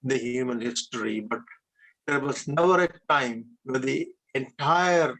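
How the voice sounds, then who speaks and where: Interview guest: man in his 70s from India, native Hindi speaker, gravelly mid-range voice, deliberate and hesitant delivery. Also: mid-range voice, a bit bright, low-quality recording. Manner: deliberate, with frequent hesitation fillers. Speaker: guest, retired engineer. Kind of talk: measured conversational interview answers.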